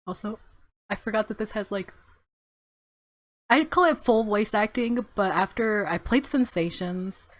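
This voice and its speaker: A woman's voice: mid-range voice, a little slow, pauses often, no echo. A woman doing casual, chatty and reactive gameplay commentary, sometimes reading game text aloud. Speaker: let's-play streamer. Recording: background game music.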